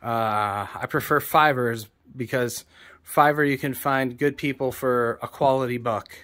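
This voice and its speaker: A man's voice: mid-range voice, fairly even intonation, measured pace, a bit bright. A man in his forties, a songwriter and producer, explaining his process in a casual vlog, rambling and correcting himself, recorded on a phone held at arm's length in a small room. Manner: rambling, self-correcting, plain-spoken.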